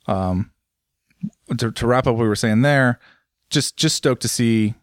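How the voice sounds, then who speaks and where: A man's voice: medium pitch, measured pace, dry recording, studio quality. Casual self-deprecating man co-host. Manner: rambling, self-deprecating, restarts often. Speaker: podcast co-host, motorcycle news site owner. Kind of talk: casual chat.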